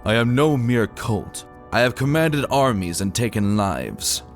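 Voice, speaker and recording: A man's voice: medium pitch, expressive, a little slow, faint music behind. A man voicing a proud, contemptuous young stallion prince in a dramatic reading, snarling and spitting his words with a hot temper. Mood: indignant. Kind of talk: dramatic fan fiction reading.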